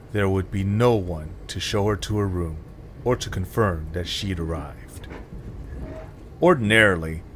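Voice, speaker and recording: A man reading dramatic scripted narration in a solemn, measured manner. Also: low voice, a little hiss or hum.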